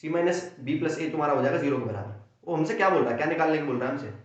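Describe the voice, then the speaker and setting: A young man with a sore throat races through a maths lesson, bursting with energy and voicing his students' questions and answers himself. His voice sits a little high, and it rings around a very echoey room on a dull, lo-fi recording. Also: very fast. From India. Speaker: maths teacher. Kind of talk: fast Hindi exam-prep lesson.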